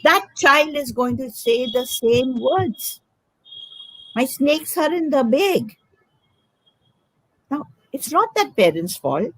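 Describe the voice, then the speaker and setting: A woman's voice: high-pitched, very animated, takes it slowly, long pauses, husky, no room sound, low-quality recording. An older woman from Karnataka with an Indian English accent, a Konkani speaker, giving emphatic advice as an educator in an interview and repeating her key points. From south India, Karnataka. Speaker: educator, guest. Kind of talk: conversational advice.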